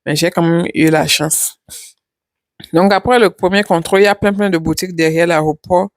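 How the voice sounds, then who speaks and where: A woman's voice: on the low side, fairly even intonation, moderate pace, no room sound, studio quality. A woman vlogger chatting casually over her travel footage, rambling and repeating her words.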